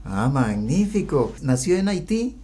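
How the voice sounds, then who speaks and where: Man, host, fairly high voice, friendly interjections, reads vocabulary definitions. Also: big pitch swings, unhurried, mellow, breathy edge. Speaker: radio show host. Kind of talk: interview, presenting segments.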